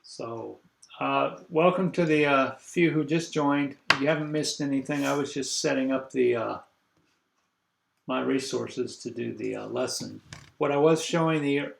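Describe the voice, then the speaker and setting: An older man lecturing a university class over a video call, congested, halting and full of fillers as he thinks aloud. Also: medium pitch, creaky, a bit bright, echoey room.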